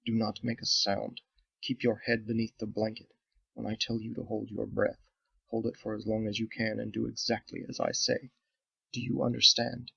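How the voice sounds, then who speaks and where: A man's voice: fairly low pitch, fairly even intonation, quick pace, creaky, a bit bright, dry recording, highs cut off. Man voicing a terse, clipped woman soldier. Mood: hushed urgent. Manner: formal, clipped speech. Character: stern female soldier, terse and commanding.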